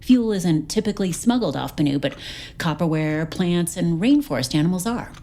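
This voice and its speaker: A woman playing a wry, capable investigator officer, reporting in a brisk, matter-of-fact way. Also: fairly low pitch, lively intonation, dark tone. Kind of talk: audio drama acting.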